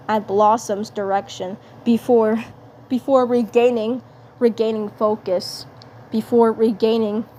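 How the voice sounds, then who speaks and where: Slow, halting woman narrator. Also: on the high side, mellow. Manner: halting, repeats words and phrases. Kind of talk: fan fiction script narration. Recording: clear background noise.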